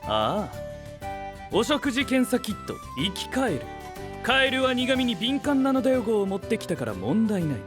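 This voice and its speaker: A man's voice: extremely high pitch, sing-song, normal speed, over music. A male voice actor playing a haughty, suspicious young inventor in an anime-style audio drama, speaking in a stiff, formal, lecturing way. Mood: self-assured.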